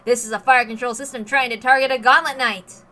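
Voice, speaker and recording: Bright-voiced woman reading dramatically. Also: a little high, fairly even intonation, fairly quick, slight background noise. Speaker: reader of a visual novel. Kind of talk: dramatic scripted narration read aloud.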